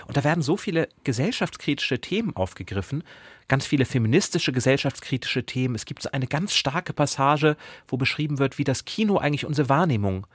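A man's voice: medium pitch, no echo. A man talking through books as a podcast host, articulate, engaged and reflective. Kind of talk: conversational book discussion.

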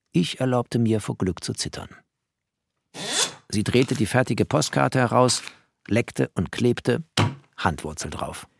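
Radio play narrator: bookish, wry refugee man, gravelly, lyrical fragments, faint vehicle noise behind. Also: fairly low pitch. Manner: terse, poetic, fragmentary phrasing.

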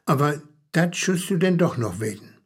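A man narrating and commenting in a radio play with a Low German accent, dry and explanatory. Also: animated, normal speed, slightly rough.

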